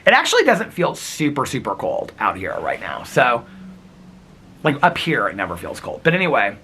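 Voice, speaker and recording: A man vlogging outdoors in wind and snow, talking casually in a rambling, giggly way with a husky, crackly voice. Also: mid-range voice, moderate pace. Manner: rambling, giggly, animated storytelling. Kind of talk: casual chatty vlog. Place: outdoors in windy snowy weather.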